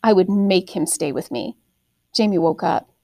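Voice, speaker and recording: A woman narrating a first-person story expressively, with deliberate, dramatic phrasing. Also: fairly low pitch, warm tone, no room sound. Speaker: audiobook reader, teacher reading aloud.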